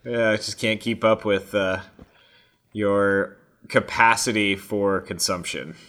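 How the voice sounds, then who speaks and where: Casual, wry man with a lowish voice. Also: steady tone, slow pace, sharp tone. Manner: informal, wry, joking. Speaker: podcast co-host. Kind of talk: casual chat.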